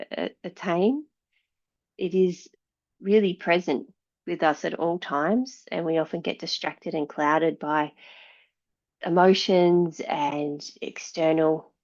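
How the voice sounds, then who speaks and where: A woman leads a yoga class in a fairly low voice, speaking very slowly. Her delivery is soothing and measured, with long pauses between instructions. The recording sounds slightly dull and lo-fi. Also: darkish tone. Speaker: yoga teacher. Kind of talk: guided yoga and meditation instruction.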